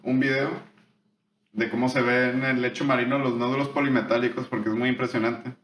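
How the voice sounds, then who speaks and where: A man's voice: mid-range voice, flat delivery, fairly quick, fairly bright tone. Young northern Mexican guy, casual podcast co-host, slangy and talkative, roomy echo with faint hum. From Tijuana, Mexico. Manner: slangy, explains at length, drops "güey" constantly. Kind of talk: casual chat.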